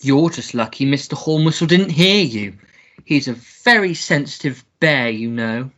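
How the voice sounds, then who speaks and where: A woman playing a foppish, childish upper-class English gentleman in a comic melodrama radio play, pompous and theatrical. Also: extremely low pitch, animated, measured pace, muffled recording, full character voice. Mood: huffy, indignant. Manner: pompous, theatrical, babyish gushing.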